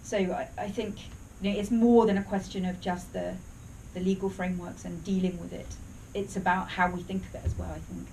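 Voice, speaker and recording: A woman's voice: lowish voice, flat delivery, fairly quick, warm tone. Distant woman researcher answering questions. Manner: thoughtful, frequent restarts and hedges. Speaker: researcher presenting at a briefing. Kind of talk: academic Q&A answer. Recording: distant mic, faint room noise.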